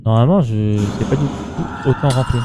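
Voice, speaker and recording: A man's voice: mid-range voice, a little fast, noisy background. A man doing casual gameplay commentary in an informal, slangy way, muttering to himself and chatting to his viewers. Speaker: gaming streamer.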